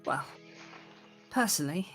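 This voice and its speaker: A woman's voice: a little slow, over music, lightly acted. A woman playing a streetwise, world-weary but kindly female investigator in a tabletop game.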